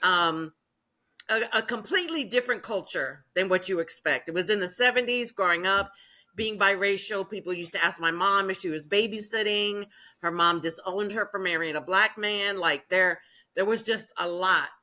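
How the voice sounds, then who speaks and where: A woman's voice: mid-range voice, normal speed, pushed and bright. A woman in her fifties from Maine, a youth minister telling her life story earnestly in an interview. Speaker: youth ministry worker, guest.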